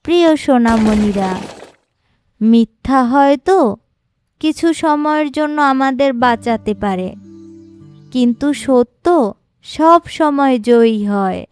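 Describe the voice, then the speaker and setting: A woman narrating a scripted children's moral story in a measured, instructive way. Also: sits high, lively intonation, a little mellow. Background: background music.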